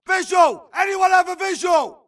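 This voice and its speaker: Shouting, high-pitched grunt soldier. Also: male voice, normal speed, recorded from a distance, over a noisy crowd, heavy background noise. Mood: urgent alarm. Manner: urgent, clipped shouting. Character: gruff, urgent infantry grunt soldier in battle. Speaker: video game soldier. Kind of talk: shouted combat barks.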